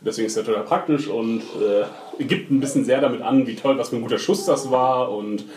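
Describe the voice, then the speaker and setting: A man of middle pitch chats casually about a TV episode, dry and ironic, slipping into mocking quotes of the characters. His voice bounces around an echoey room, with a faint hiss underneath. He pauses often with fillers as he works through the plot. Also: a little mellow. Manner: wry, ironic, retells scenes in mock quotes. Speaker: podcast co-host. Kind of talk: casual TV recap chat.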